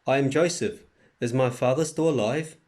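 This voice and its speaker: A man's voice: mid-range voice, moderate pace, a little mellow, close to an everyday voice. A man playing a powerful governor and forgiving brother, declaiming earnestly in an amateur scripture drama. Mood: emotional.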